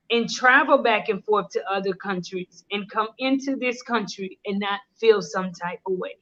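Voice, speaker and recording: A woman's voice: medium pitch, bright, forceful tone, dull audio. A middle-aged woman hosting a casual live-stream chat, talking earnestly and switching between English and Creole. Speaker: host.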